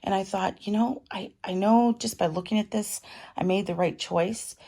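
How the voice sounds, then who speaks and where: A middle-aged woman with a cold and a raspy voice, chatting casually as she gives a tarot reading at home. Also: mid-range voice, normal speed. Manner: chatty, warm advice with fillers. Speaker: tarot reader.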